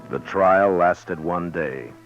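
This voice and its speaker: An American frontier lawman with a very low, bottom-heavy male voice. He is plain-spoken, gruff and humane, in the manner of a 1950s radio western. The sound is muffled vintage broadcast. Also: slow, over loud music. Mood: reflective.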